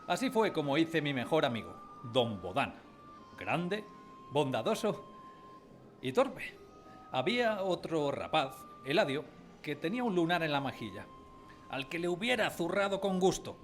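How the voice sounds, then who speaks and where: A man playing a narrator who fondly recalls his village schooldays, in expressive scripted radio drama storytelling. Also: on the high side, measured pace, soft background music. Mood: reminiscent.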